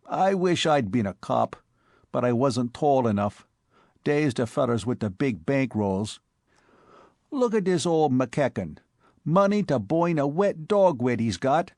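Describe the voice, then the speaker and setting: A man's voice: a little high, dry recording, studio quality, voice pushed up. A man voicing a brash New York street tough. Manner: excitable slangy street talk. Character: brash streetwise young male Bowery crook.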